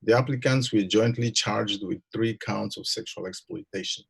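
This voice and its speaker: A low, creaky man's voice with a husky rasp. He is a judge reading a judgment aloud, formal in wording and somewhat halting in delivery. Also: rough recording.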